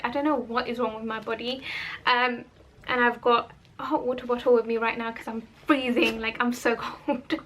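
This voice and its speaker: A woman's voice: a little high, fairly bright tone, a little hiss or hum. A woman, chatting in an informal, rambling way as a vlogger talking about herself. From born in Holland, of Bangladeshi family. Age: 20s. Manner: informal, rambling, self-interrupting. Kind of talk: casual chatty personal vlog.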